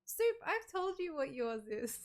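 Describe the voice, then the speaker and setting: A woman's voice: sits high, big pitch swings, measured pace, faint music behind. A woman chatting casually on a livestream, rambling with lots of "like" and "um" and laughing often as she reads out viewer messages.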